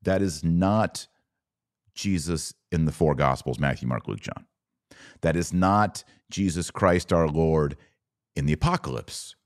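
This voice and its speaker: A man's voice: rumbling low, a little slow. A man hosting a podcast, giving a blunt, informal religious commentary in an emphatic, preachy way. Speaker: podcast host.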